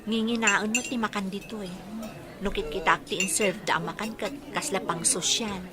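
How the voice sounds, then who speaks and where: An actress with an Ilocano accent playing a frank, caring young woman being courted in an expressive radio drama. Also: mid-range voice, moderate pace, noisy background, natural voice. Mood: frank, teasing.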